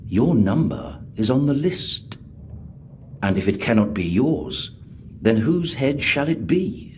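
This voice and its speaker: A man playing a cold, calculating overseer in a video game, instructing in a measured, quietly threatening way. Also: medium pitch, big pitch swings, slight background noise. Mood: menacing. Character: cold, calculating male overseer, menacing and commanding. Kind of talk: video game character acting.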